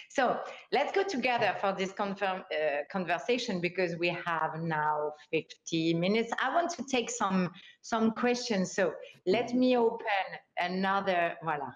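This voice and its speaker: A woman hosting an online forum interview, speaking with enthusiasm and non-native phrasing. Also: mid-range voice. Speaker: host. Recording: roomy, dull lo-fi sound.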